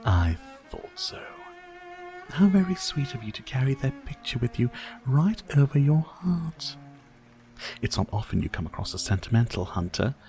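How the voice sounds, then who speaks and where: A man's voice: mid-range voice, very animated, normal speed, warm tone, faint music behind. A man playing a sadistic, courtly vampire lord in an ASMR roleplay, speaking in a silky, formal, teasing and theatrical manner. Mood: taunting, knowing. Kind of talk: ASMR roleplay, dramatic monologue to listener.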